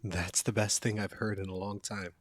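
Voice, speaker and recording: A man's voice: on the low side, flat delivery, normal speed, natural voice. A man playing a caring, protective young college friend in an intimate, one-sided audio roleplay, speaking in an earnest, conversational way. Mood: surprised, relieved.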